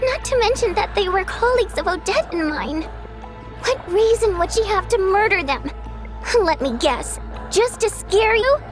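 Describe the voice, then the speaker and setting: A woman's voice: very high-pitched, lively intonation, normal speed. A woman playing an outspoken, earnest young girl who works at a theatre, in a video game. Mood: questioning. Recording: background music.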